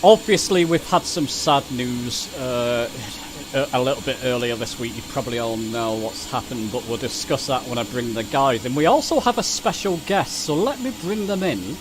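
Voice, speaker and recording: A man's voice: medium pitch, expressive, normal speed, poor audio. A man hosting a casual flight simulator livestream over voice chat, friendly, often repeating words as he goes. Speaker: livestream host.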